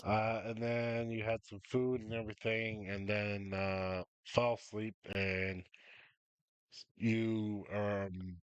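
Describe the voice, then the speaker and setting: A stuffy-nosed man with a cough runs a tabletop game at a slow, unhurried pace. His voice sits low, and he rambles through recaps with plenty of ums. The sound is dry and close. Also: level tone, smooth, dry recording, lo-fi sound. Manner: rambling, many ums and uhs. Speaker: game master.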